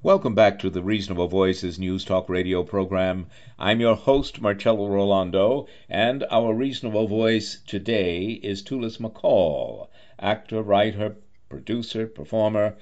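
A man's voice: on the low side, unhurried, darkish tone. A man hosting a radio talk show in a conversational interview style. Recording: dull band-limited radio audio.

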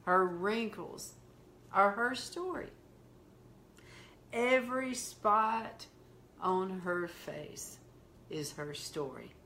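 A woman with a Southern accent quoting God as a gentle, tender male voice, speaking slowly and softly. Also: medium pitch, expressive, a little hiss or hum, full character voice.

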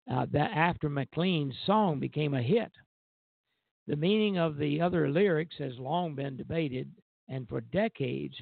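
A male radio host comes through a thin, phone-like connection. His voice has a gravelly creak and sits fairly close and dry. He reads prepared music history haltingly, breaking phrases and stumbling over the odd word. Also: on the high side, no room sound. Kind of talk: scripted music history narration. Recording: tinny, narrow phone-like line.

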